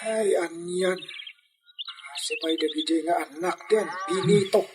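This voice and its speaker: A man's voice: on the high side, measured pace, a little mellow, soft background music, close to an everyday voice. A man with a Filipino accent acting a fretful rustic father in a radio drama. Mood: fretful, curious. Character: Benito's rustic old father, fretful farmhand.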